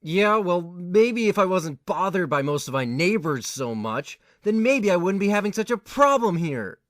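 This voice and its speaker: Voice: medium pitch, big pitch swings, moderate pace, fairly bright tone, dry recording. Acted young male student, frustrated, swooping intonation, dry close sound, audio play. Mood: exasperated. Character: frustrated, put-upon young male student, easily flustered. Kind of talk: amateur audio drama acting.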